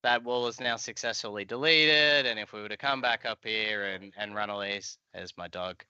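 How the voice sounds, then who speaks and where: A man's voice: lowish voice, normal speed, bright, forceful tone, clear, resonant tone, no room sound, muffled recording. A man, around his thirties, giving an informal, explanatory live coding walkthrough as an instructor over a video call. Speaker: software tutorial instructor. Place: indoor room, video call.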